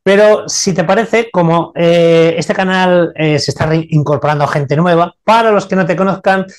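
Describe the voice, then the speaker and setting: An older man hosting an interview over a video call from a room at home, calm and affirming. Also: high-pitched, moderate pace. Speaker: interview host. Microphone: video call webcam.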